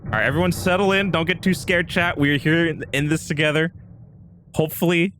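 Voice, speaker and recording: A man's voice: a little high, a little fast, fairly bright tone, a little hiss or hum. A man hosting a live stream with casual chat, rambling and repeating himself as he talks straight to his viewers. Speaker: livestream host.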